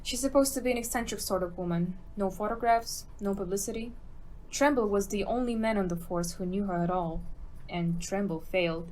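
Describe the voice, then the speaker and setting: A woman's voice: mid-range voice, a little fast, a little mellow, natural voice. A woman acting an agitated, emphatic female police inspector in an amateur audio play. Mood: worried, exasperated.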